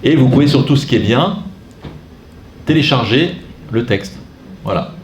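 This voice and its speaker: A man's voice: mid-range voice, animated, a little slow, a little hiss or hum. A man giving an informal training talk to catechists, chatty and digressive with self-mocking asides while demonstrating on a computer. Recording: echoey room, faint ambience.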